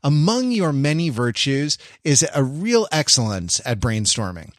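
A man co-hosting a podcast in casual chat, talking in a halting, self-interrupting, jokey way. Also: medium pitch, big pitch swings, no echo, clean studio sound. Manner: jokey, teasing. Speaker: podcast co-host.

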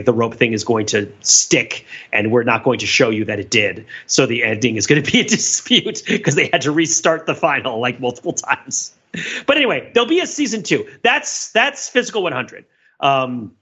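A man talking casually as a podcast host, explaining things in a rambling, animated way and often correcting himself mid-thought. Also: medium pitch, lo-fi sound. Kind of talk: casual podcast discussion, recounting a TV show.

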